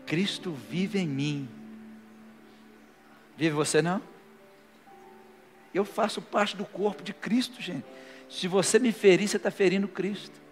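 A man's voice: high voice, measured pace, long pauses. Preaching man in a reverberant church. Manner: preaching, rising to fervent shouted declarations. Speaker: pastor. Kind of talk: sermon and closing prayer. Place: church hall, live before a congregation. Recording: long hall reverb with faint music.

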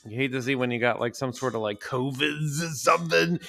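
A man's voice: mid-range voice, fairly quick, creaky, pushed and bright, faint music behind. Stuffy-voiced man hosting a podcast. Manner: rambling, crude, jokey. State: stuffy with a cold. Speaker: podcast host. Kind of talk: casual solo podcast monologue.

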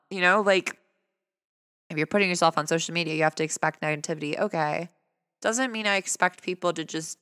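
A man speaking earnestly and conversationally in a personal reflective talk, his voice fairly high for a man, often asking rhetorical questions. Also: pushed and bright, smooth, no echo, studio quality, long reverb tail. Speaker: vlogger.

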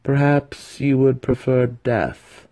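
Man voicing a sly, taunting young dark elf, pitched up. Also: slow, natural voice. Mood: teasing, threatening. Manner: sly, taunting, matter-of-fact about menace. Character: sly young male dark elf apprentice, cruel and teasing.

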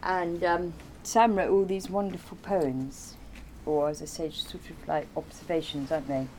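A woman's voice: fairly low pitch, level tone, normal speed, darkish tone, slight background noise. A woman in informal conversation, explaining and recollecting in a rambling way. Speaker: artist being interviewed.

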